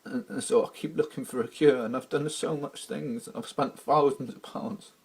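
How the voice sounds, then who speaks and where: A man from Wales, speaking in a halting, emotional video diary while distressed and close to tears. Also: mid-range voice, steady tone, creaky, husky. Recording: old archival video clip.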